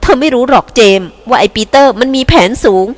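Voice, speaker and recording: A woman's voice: high voice, lively intonation, fast, pitched above the performer's own voice. A woman reading aloud and voicing an anxious, scheming woman devoted to her young son, in a pleading, urgent way. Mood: insistent.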